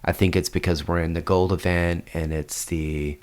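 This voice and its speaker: A man's voice: deep voice, level tone, moderate pace. A congested man, casually explaining a game update as a strategy guide host, rambling with many fillers.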